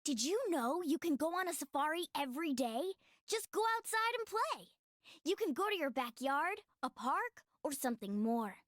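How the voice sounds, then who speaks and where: A woman's voice: high-pitched, sing-song, normal speed, fairly bright tone, dry recording, clean studio sound. A woman voicing a sweet, slightly shy little cartoon child who speaks in sing-song rhyme. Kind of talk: scripted rhyming children's game narration.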